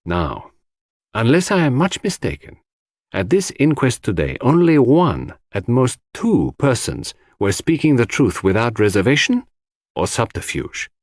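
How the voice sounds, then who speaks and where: A man voicing a shrewd, courteous, theatrical detective in an audiobook, speaking formally and deliberately. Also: medium pitch, huge pitch swings, no echo, studio quality, full character voice. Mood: grave, knowing. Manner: formal, grave, deliberate, questioning. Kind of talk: audiobook character dialogue.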